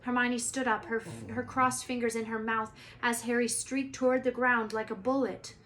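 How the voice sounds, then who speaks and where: A woman teacher reading a children's novel aloud in an expressive storytelling style. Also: on the high side, moderate pace, poor audio.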